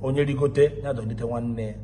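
A man's voice: medium pitch, steady tone, brisk, mellow, a little hiss or hum. A man with a Nigerian Igbo accent, politely asking interview questions. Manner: formal, courteous questioning.

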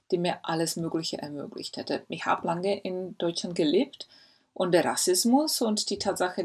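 A woman in her forties from the Republic speaks German as an in an earnest, thoughtful way. She pauses and hesitates often as she works through her points. Her voice is slightly husky, and the sound is picked up by a laptop webcam in a study at home. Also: fairly low pitch, darkish tone. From Czech Republic. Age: 40s. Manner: earnest, reflective, with frequent hesitations. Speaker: historian. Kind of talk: personal video statement. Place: home study lined with bookshelves. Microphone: laptop webcam mic.

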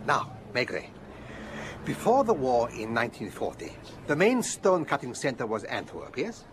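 An actor playing a genial, knowledgeable gem trade informant in a radio drama. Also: male voice, mid-range voice, wildly swooping pitch, moderate pace, background noise. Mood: affable, explanatory.

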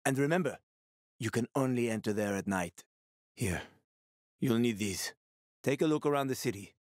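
Roguish male survivor guide for a video game, played by a man: mid-pitched, casual wisecracker, sweary, recorded dry. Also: expressive, normal speed, darkish tone, no echo, close to an everyday voice. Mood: wry, friendly.

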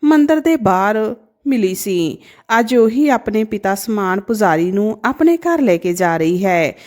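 A woman's voice: medium pitch, expressive, a little slow, darkish tone, close to an everyday voice. A woman voicing humble villagers in a storybook tale, speaking in an earnest and reverent manner. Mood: awed. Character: humbled, reverent villagers addressing and watching the daughter.

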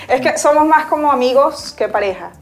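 A woman's voice: high voice, measured pace. Chatty Venezuelan woman, faint music behind. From Venezuela, Caracas. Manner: relaxed, chatty, laughs easily. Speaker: guest. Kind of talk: casual interview chat. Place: small indoor studio set on a sofa.

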